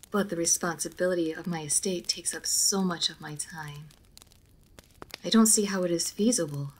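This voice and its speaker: A reserved noble vampire lady played by a woman speaks in a low voice with measured, formal phrasing. She is guarded and melancholy, as in an intimate roleplay audio drama. There is audible noise behind her. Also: a little mellow, lightly acted, poor audio.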